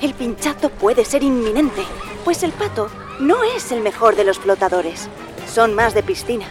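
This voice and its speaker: An actress playing a solemn, deadpan female narrator of a parody nature documentary on radio. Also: on the high side, measured pace, music in the background. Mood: solemn wonder.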